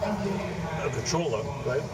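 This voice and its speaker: A man asks casual interview questions in a slightly high voice. He sounds away from the microphone, with very heavy noise around him. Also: expressive, slow, far from the mic. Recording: off-mic voice in very loud noise.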